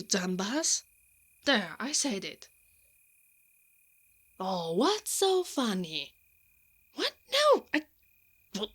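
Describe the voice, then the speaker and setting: A woman's voice: fairly high pitch, a bit bright, exaggerated voice. Brash, protective woman knight, acted by a woman. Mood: flustered, tender. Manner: defiant and exasperated, slipping into flustered stammers. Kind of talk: ASMR roleplay acting.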